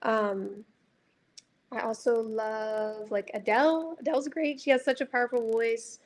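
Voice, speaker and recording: Young woman, light high reflective voice. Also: steady tone, unhurried. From Dallas, Texas. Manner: reflective, heavy use of filler words. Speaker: music artist guest. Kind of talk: casual interview chat.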